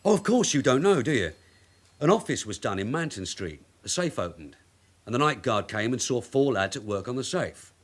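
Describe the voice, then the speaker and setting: An actor playing a shrewd, middle-aged detective sergeant in a radio crime drama, brisk, persuasive and controlled. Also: male voice, medium pitch, sing-song. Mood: smoothly casual. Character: shrewd veteran London detective sergeant, wily and insistent.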